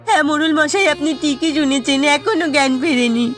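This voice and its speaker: A woman's voice: high voice, lively intonation, fairly quick, soft background music. A woman voicing an anxious, remorseful village wife in a cartoon, plaintive and melodramatic. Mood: worried, defensive. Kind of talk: cartoon voice acting.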